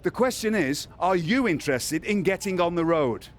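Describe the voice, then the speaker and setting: A man playing a businesslike, wry wrestling show boss in a video game cutscene, measured in manner. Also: high voice, expressive, slight background noise.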